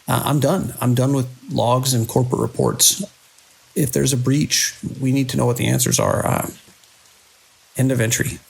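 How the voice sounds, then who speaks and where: A man playing a weary, alarmed hospital director in an audio drama, speaking grave, deliberate log entries in clipped sentences. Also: medium pitch, fairly even intonation, creaky, breathy edge, rough recording. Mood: angry, fed up. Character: weary, alarmed hospital director recording logs during an outbreak.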